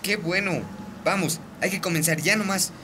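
A friendly teenage boy in a radio drama, encouraging, with a Bolivian accent. The voice is bright, with faint noise around it. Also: high-pitched, moderate pace. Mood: upbeat, encouraging.

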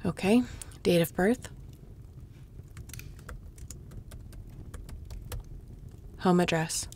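Grumpy low-voiced shapeshifter woman, acted, gravelly. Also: moderate pace, a little mellow, a little hiss or hum, lightly acted. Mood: exasperated, sarcastic. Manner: curt, sarcastic, dry, weary. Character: grumpy, tough female shapeshifter guard, begrudgingly caring. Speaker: audio roleplay performer. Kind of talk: intimate audio roleplay acting.

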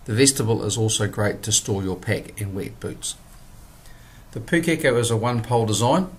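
A man, presenting a product in a measured, scripted voice-over. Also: lowish voice. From New Zealand. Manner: measured, plain instructional delivery.

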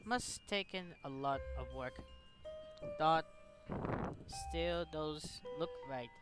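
Slow, halting male gamer. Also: fairly high pitch, over music. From Philippines. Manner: halting, broken phrasing. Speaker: gaming video host. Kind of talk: casual let's-play commentary, reading on-screen text.

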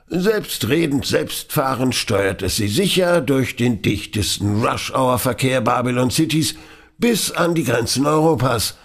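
A man playing a grandiose, self-satisfied aristocratic financier in an audio drama, presenting with pompous ceremony. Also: mid-range voice. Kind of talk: German audio drama acting.

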